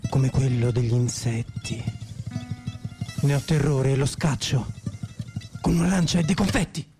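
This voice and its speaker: An actor playing a whimsical poet, reciting surreal soliloquies for radio theatre with deliberate, declamatory phrasing over plucked guitar. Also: male voice, medium pitch. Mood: dreamy, lyrical.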